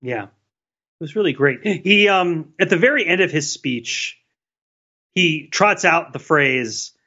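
Bright-voiced man on dull lo-fi audio. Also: fairly high pitch, expressive, slow pace. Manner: explanatory storytelling. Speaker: podcast co-host. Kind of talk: casual chat.

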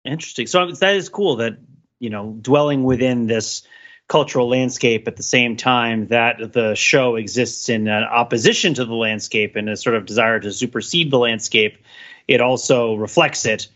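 A man's voice: medium pitch, moderate pace, fairly bright tone, muffled recording. A man co-hosting a podcast in casual but intellectual chat, speaking in wordy, analytical phrases. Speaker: podcast host.

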